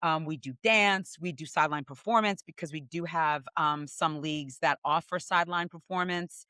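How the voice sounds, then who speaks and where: A woman's voice: low-pitched, normal speed, sharp tone, no room sound, clean studio sound. A woman co-hosting a casual podcast, upbeat and playful as she chats and introduces her guest. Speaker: podcast co-host.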